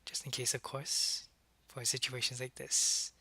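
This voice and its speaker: Man playing a suave, calculating vampire boss, crisp bright voice. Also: mid-range voice, completely flat delivery, no room sound, exaggerated voice. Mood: smug, menacing. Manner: measured, smug, politely menacing. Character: suave, calculating vampire businessman, head of a corporation. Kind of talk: ASMR roleplay audio drama.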